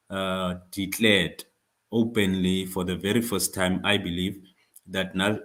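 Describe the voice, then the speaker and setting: A man from South Africa whose first language is not English, speaking to comrades in a discussion, halting with frequent fillers and restarts. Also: low voice. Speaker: speaker on labour politics.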